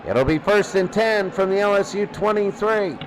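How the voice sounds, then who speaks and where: American guy doing football play by play, pretty high voice, really noisy recording. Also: expressive, normal speed. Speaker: play-by-play commentator. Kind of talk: live American football commentary.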